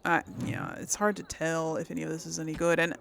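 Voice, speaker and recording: A woman's voice: low voice, level tone, fairly quick, vocal fry, fairly bright tone, slight background noise. A woman hosting a casual game playthrough, chatting and thinking aloud as she plays. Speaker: gaming video host. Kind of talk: casual playthrough commentary with role-played dialogue.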